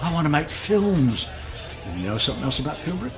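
A boastful British ladies' man, a fashion photographer in a radio drama. His delivery is smooth-talking and very animated in pitch. Music plays clearly behind his voice. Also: brisk. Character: cocky, flirtatious British male fashion photographer with big ambitions. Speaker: actor in a radio drama, also narrating.

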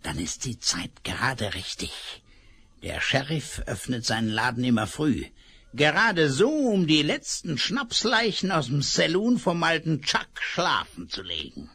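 An actor playing a scheming male villain in a radio play, sneering and threatening with mock politeness, slightly husky. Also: a little high, big pitch swings, a little slow, pushed and bright, no echo, full character voice. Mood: commanding. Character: scheming male villain rancher, cold and menacing. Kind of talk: 1970s radio play acting.

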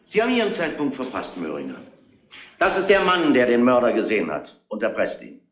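An actor playing a gruff, domineering police inspector who deliberately lays out a case in a retro crime radio play. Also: male voice, mid-range voice, wildly swooping pitch, echoey room. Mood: stern.

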